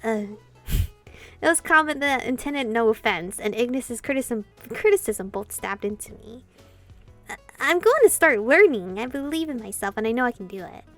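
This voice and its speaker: A woman hosting a gameplay channel, reading dialogue aloud and commenting in an expressive way, free with swearing. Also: a little high, moderate pace. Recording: game music behind.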